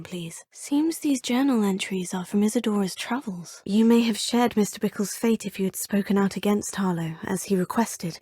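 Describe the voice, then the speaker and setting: An inquisitive young witch, formal and polite, breathy tone, close dry recording. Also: on the high side, darkish tone, no echo. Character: polite curious young female witch student. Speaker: player character. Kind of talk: video game dialogue lines.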